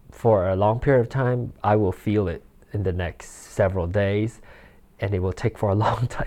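40 yr old guy rambling on his own podcast, lowish voice, lots of ums and little laughs. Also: warm tone. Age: 40s. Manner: rambling, chuckles often, many fillers and restarts. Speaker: podcast host. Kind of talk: casual solo podcast chat. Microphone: handheld or desk mic with rustle.

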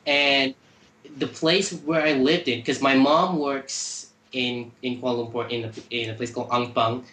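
A man's voice: mid-range voice, steady tone, normal speed, sharp tone, echoey room, rough recording. A man from Malaysia, a guest in a casual podcast chat, easygoing and hesitant, often repeating words.

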